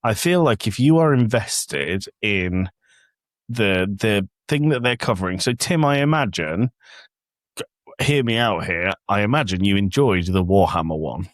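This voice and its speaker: A man with a fairly low voice chats as a podcast panellist. He is critical and plain-spoken in a casual way, recorded close and dry with hardly any room sound. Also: lively intonation, a little slow, no room sound. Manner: blunt, critical. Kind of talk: casual opinionated discussion.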